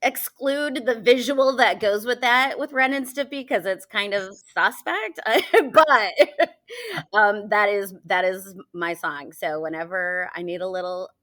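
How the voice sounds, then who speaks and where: A woman's voice: medium pitch, unhurried, pushed and bright. A woman in her forties from Michigan, a teacher chatting casually as an interview guest, with lots of fillers and frequent laughter. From Michigan, USA. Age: 40s.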